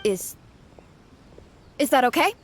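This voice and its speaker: A woman's voice: high-pitched, sing-song, takes it slowly, slight background noise, heavily acted. An actress playing a polite, hesitant, overworked young female office worker in a video game. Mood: hesitant, nervous.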